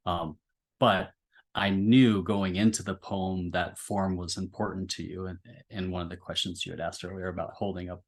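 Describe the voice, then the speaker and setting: A man in a thoughtful literary discussion, speaking reflectively and feeling his way through roundabout, halting phrasing. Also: sits low, little pitch movement. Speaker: podcast discussion participant.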